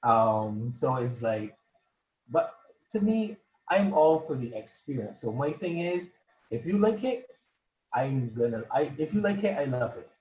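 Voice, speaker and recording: A man's voice: mid-range voice, very animated, darkish tone. A man, a guest poet, chatting casually on a podcast and often stumbling over and repeating his words. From Barbados. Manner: halting, stammers and repeats words. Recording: roomy, dull lo-fi sound.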